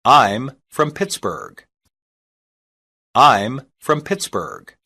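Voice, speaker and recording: A reader saying short English practice sentences slowly and evenly, each one twice, for a language lesson. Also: mid-range voice, very animated, pushed and bright. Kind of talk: slow repeated phrase reading.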